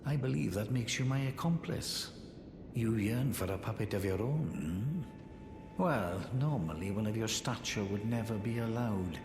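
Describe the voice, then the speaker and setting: A man's voice: on the low side, normal speed, noisy background, lightly acted. A man acting as a haughty, condescending sorcerer in a fantasy video game, with a pompous, theatrical drawl. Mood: scornful, conspiratorial. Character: haughty, condescending male sorcerer, sly and scornful puppet-maker. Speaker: preceptor of sorcery. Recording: echoey reverberant hall with game music.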